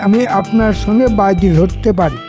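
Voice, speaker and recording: A man as a sly, high-voiced scheming minister. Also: normal speed, mellow, faint music behind, close to an everyday voice. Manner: insinuating, persuasive. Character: sly, scheming royal minister feigning loyal innocence. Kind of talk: Bengali cartoon dialogue.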